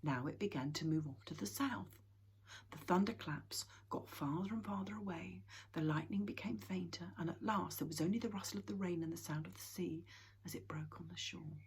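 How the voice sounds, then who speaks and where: A woman reads a children's storybook aloud, often dropping into a hushed whisper. Her voice sits low and is extremely breathy and husky. The delivery is quiet and intimate. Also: moderate pace.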